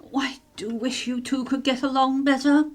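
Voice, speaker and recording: A woman's voice: sits high, flat delivery, normal speed, lightly acted. A woman playing a genteel, chiding upper-class mother from the 1930s in a radio play, with an English accent. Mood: soothing, brisk.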